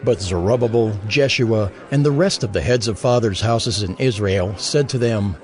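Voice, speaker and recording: A man narrating scripture in a solemn, expressive reading. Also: fairly low pitch, normal speed.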